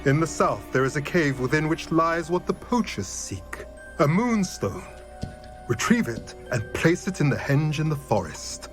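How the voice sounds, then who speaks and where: A slow, measured old centaur, grave and wise. His pitch moves widely as he speaks. Game music plays clearly beneath the voice. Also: very animated. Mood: solemn. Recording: game audio with music underneath.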